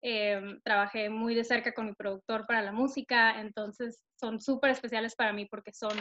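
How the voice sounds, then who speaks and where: A woman's voice: fairly high pitch, little pitch movement, normal speed, a bit bright, slight background noise. Young northern Mexican woman, chatty guest on a video call, lightly muffled audio. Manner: upbeat, chatty, many fillers. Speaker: singer-songwriter guest. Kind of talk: casual interview over video call. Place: bright room at home. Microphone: laptop camera on video call.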